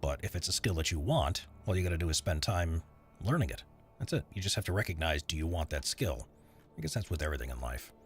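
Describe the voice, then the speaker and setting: A man's voice: very deep voice, expressive, fast, a little hiss or hum. A man streaming while he edits voiceover audio, chatting loosely with his viewers and rambling between tasks. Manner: rambling, chatty, answers chat while working. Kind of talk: casual live-stream chat.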